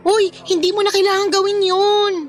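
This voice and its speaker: A woman's voice: extremely high pitch, little pitch movement, a little fast, faint music behind. A woman acting in a Filipino radio drama as an accommodating young woman who is playful and coy with friends. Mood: mildly protesting.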